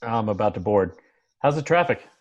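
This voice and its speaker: A man's voice: lowish voice, animated, moderate pace. Reserved middle-aged man, read by an actor, muffled sound. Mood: matter-of-fact. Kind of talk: table read of television drama.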